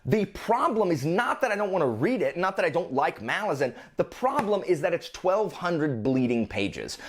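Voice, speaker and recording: A man hosting a vlog, talking casually and informally about his reading list with playfully exasperated asides. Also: high-pitched. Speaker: book vlogger, channel host.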